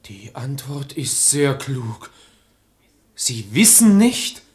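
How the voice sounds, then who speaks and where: A proud young gentleman in love in a classic radio comedy. He is touchy and haughty, turning wounded feelings into indignant sarcasm. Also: medium pitch, very animated, extremely slow. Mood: suspicious, stung. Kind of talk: German radio play of classic verse comedy.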